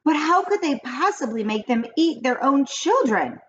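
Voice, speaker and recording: A woman's voice: high voice, sing-song, normal speed, a bit bright, lo-fi sound, pitched above the performer's own voice. A woman voicing an anxious, curious young grandchild in a children's storybook, asking questions with wide-eyed eagerness. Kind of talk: children's storybook read-aloud.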